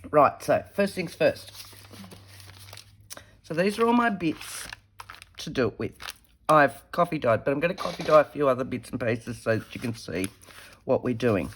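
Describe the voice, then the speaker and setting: Slightly croaky woman crafting. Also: extremely low pitch, lively intonation, unhurried, long pauses, a little hiss or hum. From Australia. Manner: chatty, talks while working with her hands. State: slightly croaky from a head cold. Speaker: craft tutorial host. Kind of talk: casual craft demonstration.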